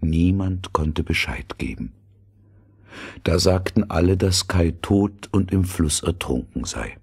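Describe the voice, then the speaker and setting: Deep-voiced male fairy-tale narrator. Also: creaky, darkish tone, slightly rough. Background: music.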